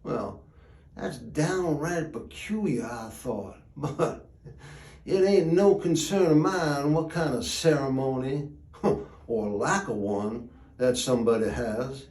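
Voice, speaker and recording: Actor as folksy, slow-talking rural gravedigger. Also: male voice, medium pitch, a little mellow. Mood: wry, uneasy. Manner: drawling folksy dialect, dramatic pauses. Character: folksy rural gravedigger spinning a yarn, wry and plainspoken. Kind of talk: theatrical storytelling monologue. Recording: echoey room.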